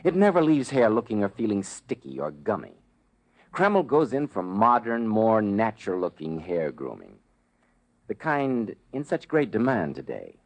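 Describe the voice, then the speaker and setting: Animated, low-voiced radio announcer. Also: male voice, a little slow. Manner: polished, chummy salesmanship. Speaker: announcer and sponsor pitchman. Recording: vintage 1940s radio recording.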